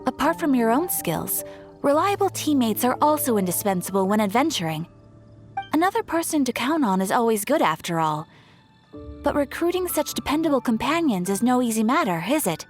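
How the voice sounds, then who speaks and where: A helpful young receptionist at an adventurers' guild in an anime game. Her voice is on the high side and very expressive, formal and courteous, over soft background music. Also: brisk. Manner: polite, formal, encouraging. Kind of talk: English anime-style game dub.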